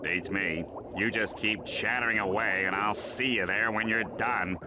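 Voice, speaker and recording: A man voicing a sour, bored, sarcastic octopus in a cartoon video game, nasal, deadpan and dismissive. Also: fairly low pitch, measured pace, noisy background, close to an everyday voice.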